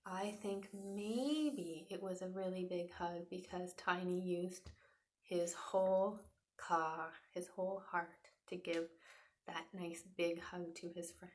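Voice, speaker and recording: A woman's voice: mid-range voice, a bit bright. A woman teacher doing an interactive read-aloud for young children, speaking encouragingly to her listeners as friends. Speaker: teacher and storyteller.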